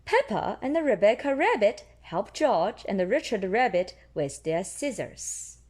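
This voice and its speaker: A woman's voice: mid-range voice, very animated, a little slow, a little mellow. A woman reading picture books aloud for young children and explaining things simply. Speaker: storybook reader.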